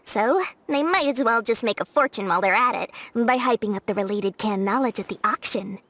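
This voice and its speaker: An actress voicing a scheming, greedy young merchant in a video game dub, sly and salesy. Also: female voice, a little high, fairly quick, a bit bright, a little hiss or hum. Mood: explaining.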